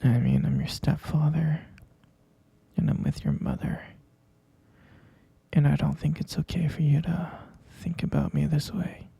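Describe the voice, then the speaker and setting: Man acting a hushed, gravelly middle-aged stepfather. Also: on the high side, sing-song, dry recording, in a whisper, exaggerated voice. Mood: hushed concern. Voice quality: breathy, gravelly. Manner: halting, hushed, drawn-out delivery. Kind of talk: scripted ASMR roleplay.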